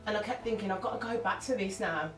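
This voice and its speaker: A woman's voice: mid-range voice, fast, fairly bright tone. A woman, talking openly and emotionally as an interview guest, often laughing as she tells her story. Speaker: interview guest, singer and actress. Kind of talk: candid conversational interview. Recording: echoey room, mic far off, music underneath.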